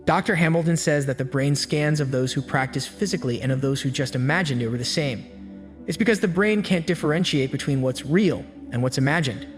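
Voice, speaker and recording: A man giving scripted self-help narration with an even, steady storytelling delivery. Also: a little high, fairly quick. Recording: long hall reverb with faint music. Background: faint background music.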